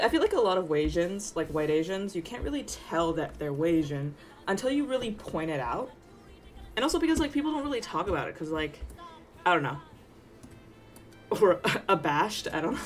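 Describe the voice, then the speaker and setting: Low-voiced chatty young woman streamer. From Taiwan. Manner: rambling, chatty, self-interrupting with tangents and laughs. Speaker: VTuber streamer. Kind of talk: casual livestream chat while working. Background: faint background music.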